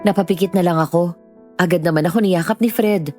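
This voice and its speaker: A woman's voice: fairly low pitch, fairly quick, dark tone, natural voice. A woman playing a young letter-writer, narrating her story in a subdued, confessional way with a Filipino accent. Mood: reflective. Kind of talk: first-person scripted horror-story narration. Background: music.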